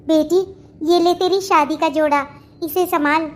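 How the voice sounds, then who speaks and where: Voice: very high voice, fairly even intonation, unhurried. A performer voicing a doting, worried elderly mother-in-law in a family cartoon, in a motherly, pleading manner. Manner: pleading, motherly, repetitive endearments. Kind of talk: Hindi family comedy cartoon dialogue.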